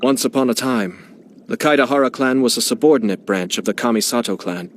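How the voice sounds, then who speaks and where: A man's voice: mid-range voice, a little fast, a little hiss or hum, lightly acted. An actor playing a composed young nobleman who heads his clan, speaking in a polished, courteous way with dry amusement. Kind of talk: video game character voicelines.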